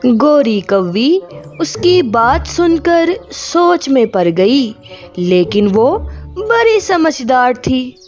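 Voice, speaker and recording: A woman's voice: sits high, big pitch swings, very slow, darkish tone, soft background music. A woman narrating a children's cartoon story in Hindi as a storyteller.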